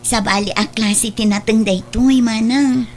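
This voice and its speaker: A woman's voice: on the high side, steady tone, measured pace. An Ilocano-accented woman playing a patient, ambitious younger sister who trades at a market, in an audio drama. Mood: hopeful. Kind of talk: Ilocano radio drama dialogue.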